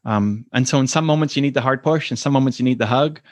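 A man's voice: fast, no room sound. A man as a podcast guest, answering earnestly and acting out quoted voices. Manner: earnest, acts out quoted voices with rising pitch. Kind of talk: reflective conversational interview answers.

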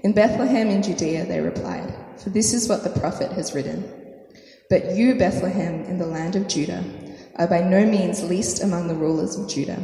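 A woman preacher with a croaky, hoarse voice from a sore throat, praying softly with many fillers, then reading scripture and preaching. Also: fairly low pitch, normal speed. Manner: soft, hesitant prayer with many fillers. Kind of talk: sermon with prayer and scripture reading. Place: church hall. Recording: echoey hall, faint noise.

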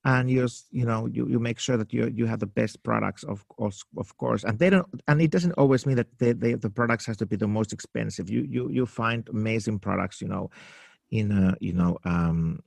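Finnish man, gravelly and halting. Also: lowish voice, darkish tone, no room sound. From Finland. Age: 50s. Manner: halting, searching for English words with many fillers and restarts. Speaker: makeup artist guest. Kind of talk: casual interview answers.

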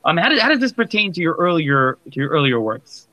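A man hosts an interview show in a casual register. His voice is bright and crisp-edged, recorded dry with little room around it. He phrases things loosely and haltingly, like someone talking off the cuff. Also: a little high, big pitch swings, no echo. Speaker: podcast host. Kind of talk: casual live interview hosting.

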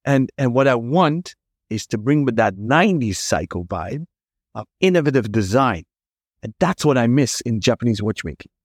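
Man, Dutch accent, podcast host, eager questions, mid pitch, dry close sound. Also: sing-song, normal speed, no echo. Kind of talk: casual interview chat.

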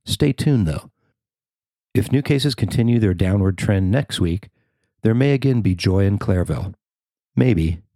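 Low-voiced American man reading news, dry sound. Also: lively intonation, a little slow, long pauses, dark tone, no echo. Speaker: podcast host and reporter. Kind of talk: scripted news narration.

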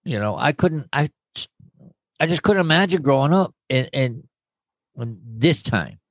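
A man's voice: mid-range voice, lively intonation, measured pace, no echo. A man in his fifties co-hosting a casual podcast chat, joking and rambling off on tangents. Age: 50s. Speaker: podcast co-host.

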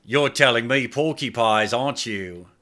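A man's voice: medium pitch, unhurried, sharp tone. A man voicing a nervous, sheepish male hotel attendant, wheedling and hesitant. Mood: teasing. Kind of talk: audiobook character voice.

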